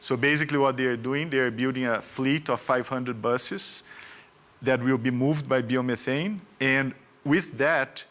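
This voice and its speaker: A man speaking on a conference panel, explaining his points step by step at a middling pitch. He pauses often and fills the gaps with soft fillers as he goes. His delivery is steady and conversational. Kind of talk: panel discussion remarks.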